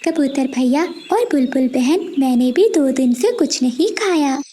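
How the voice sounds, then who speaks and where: Little female cartoon bird, voiced by a woman: very high, mellow, kind, earnest. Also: animated, a little slow, a little hiss or hum. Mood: concerned. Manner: earnest, pleading. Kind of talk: animated children's moral story dialogue.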